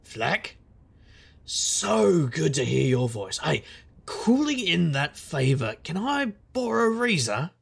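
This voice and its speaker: Male actor as a sardonic noir investigator, creaky gravelly voice, conversational storytelling, audio drama, slight noise behind. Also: on the high side, big pitch swings, slow, heavily acted. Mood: casual. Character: wry hard-boiled supernatural private investigator, man. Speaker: narrator and lead character. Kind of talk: noir first-person scripted narration.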